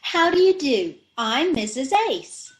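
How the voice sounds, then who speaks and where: A giddy, high-voiced housewife, played by a woman, who twists every saying without noticing. She swings her pitch around in an unhurried, sing-song way, straight out of 1930s radio comedy. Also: big pitch swings. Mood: chirpy. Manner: malapropisms, chirpy and sure of herself. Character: scatterbrained young wife mangling her words. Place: home over a video call.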